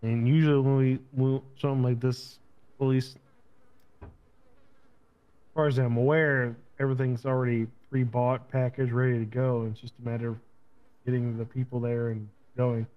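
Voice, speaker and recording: A man playing a cagey, low-ranking criminal henchman under questioning in an improvised online roleplay, answering curtly and offhandedly. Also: flat delivery, normal speed, clean tone, lightly acted. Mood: noncommittal. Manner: curt, noncommittal, offhand. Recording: dull lo-fi voice chat.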